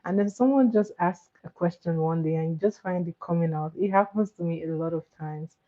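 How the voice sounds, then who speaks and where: A woman with Igbo as her chats easily with her livestream viewers in a lowish voice, giggling and doubling back on her words. The sound is dull and lo-fi, like a home stream with a basic mic. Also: a little fast, warm tone. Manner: chatty, giggly, repeats words. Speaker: livestream host. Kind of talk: casual chat with viewers.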